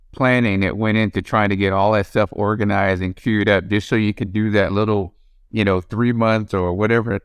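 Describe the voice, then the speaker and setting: A man's voice: lowish voice, clean tone, dry recording. A man hosting an interview podcast, asking his guest questions in an easygoing way. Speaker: podcast host. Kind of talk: interview host.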